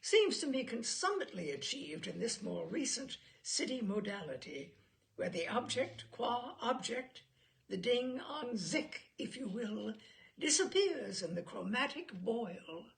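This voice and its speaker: A woman reading humorous short fiction aloud as an expressive, deliberate and theatrical literary narrator. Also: on the high side, vocal fry. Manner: deliberate, theatrical delivery with dialogue acted out. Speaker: reader of humorous short stories.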